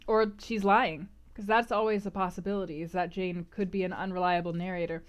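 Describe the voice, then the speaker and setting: A woman hosting a casual book club livestream, discussing and answering chat in an animated, emphatic, conversational way. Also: lowish voice, a little fast.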